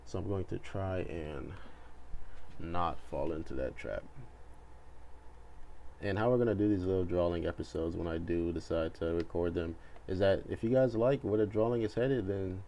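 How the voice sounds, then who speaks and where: A man's voice: low-pitched, normal speed, warm tone. A young man chatting casually and thinking aloud as he hosts a video, laid-back and unscripted in a hesitant, rambling way. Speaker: video creator and host. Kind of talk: casual rambling chat while drawing. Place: bedroom at home, indoors. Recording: home recording with faint background noise.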